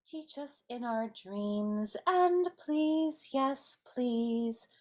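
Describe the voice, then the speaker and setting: Woman putting on a high, slow, earnest Christmas character. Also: expressive, darkish tone. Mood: tender, singing. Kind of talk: live amateur dramatic reading.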